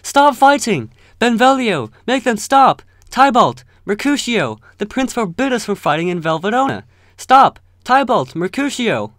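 A man's voice: extremely high pitch, big pitch swings, unhurried, a bit bright, no room sound, clean studio sound, pitched above the performer's own voice, heavily acted. A man as an earnest, swooping young puppet. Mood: frantic. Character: earnest, anxious young peace-making sock puppet.

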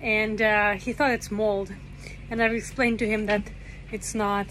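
A woman's voice: mid-range voice, a little slow, a little hiss or hum, low-quality recording. A young woman hosting a vlog. She talks casually and playfully to a phone held at arm's length in a small boat cabin, with English that is not her first language. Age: young adult. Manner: lively, playful, talks to camera. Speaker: vlog host.

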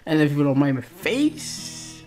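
A man's voice: fairly high pitch, very animated, measured pace, a little mellow, faint music behind. Effusive Algerian man reacting, repeating himself. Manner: effusive, repeats words and phrases, non-fluent English. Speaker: reaction video host. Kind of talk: casual reaction commentary.

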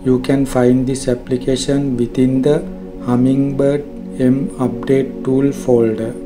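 A man narrating a scripted software tutorial in a formal, measured, step-by-step way. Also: medium pitch, little pitch movement. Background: background music.

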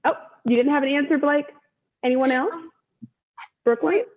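A woman's voice: high voice, normal speed. A woman in her thirties hosting a children's story time over a video call, with an encouraging, teacherly way of talking. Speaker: author and event host. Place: room at home, indoors. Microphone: video call, wireless earbud. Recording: dull video-call audio.

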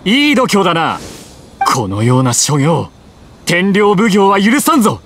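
Casting: dutiful samurai underling, high voice, animated, formal military delivery, anime game. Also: male voice, unhurried, slight background noise, exaggerated voice. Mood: threatening. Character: zealous male samurai foot soldier, loud and dutiful.

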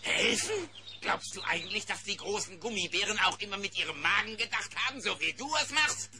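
A man's voice: high voice, expressive, a little fast, a crowd in the background. An actor playing a grumpy, stubborn bear handyman in a cartoon radio play, with a sarcastic manner.

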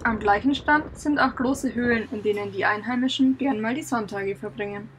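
A woman narrates a sailing travel diary in a relaxed, lightly wry tone. Her voice sits a little high, and music plays clearly underneath. The delivery is calm and conversational. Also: normal speed, poor audio. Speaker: sailing vlog co-host. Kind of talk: voice-over narration.

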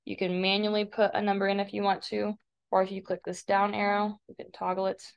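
A woman with a creaky voice, an art teacher casually explaining software step by step in a tutorial voice-over. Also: mid-range voice, monotone, fairly quick. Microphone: screen-recording voice-over. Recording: dull, lo-fi voice-over.

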